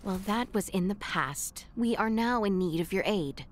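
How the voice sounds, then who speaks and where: An actress playing an earnest, caring young princess in a video game, with polite, formal courtly phrasing. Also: female voice, mid-range voice, lively intonation, normal speed, close to an everyday voice. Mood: concerned, pleading. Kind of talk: video game cutscene acting.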